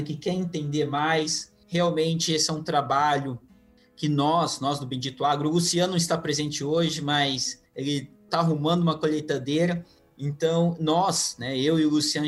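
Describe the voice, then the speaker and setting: A man with a Brazilian accent hosting a podcast in an informal, chatty, conversational way. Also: a little high. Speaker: podcast host. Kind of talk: conversational podcast introduction and interviewing.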